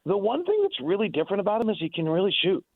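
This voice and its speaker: Over a narrow, phone-like line with little room sound, a man talks sports as a radio analyst. His voice sits a bit high for a man. He argues emphatically and unscripted, doubling back and restarting phrases as he goes. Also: very animated, racing, dry recording. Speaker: sports analyst and radio play-by-play announcer interviewed on a show.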